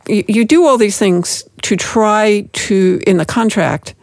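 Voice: mid-range voice, a little slow, dry recording, studio quality. A middle-aged business lawyer on a panel, explaining at length with frequent restarts. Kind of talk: panel discussion.

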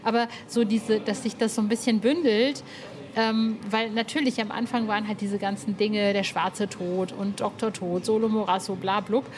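A woman's voice: mid-range voice, fairly even intonation, normal speed. A woman interviewing in a casual, engaged way, searching for words as she builds her point. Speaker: podcast interviewer.

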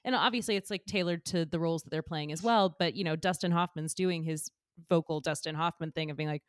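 Low-voiced woman podcast co-host. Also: quick pace, dry recording. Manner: reflective, asks questions, laughs often. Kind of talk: casual podcast chat.

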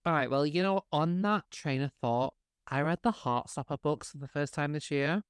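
A man's voice: on the high side, normal speed, clean tone, no room sound, clean studio sound. A man co-hosting a podcast in casual chat, Spanish his first language, sassy and campy with plenty of shade. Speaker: podcast co-host.

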